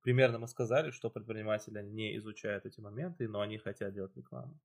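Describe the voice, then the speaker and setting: A man's voice: on the low side, steady tone, fast talker. A man hosting a casual chat, explaining at length with loose phrasing and fillers. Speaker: podcast host.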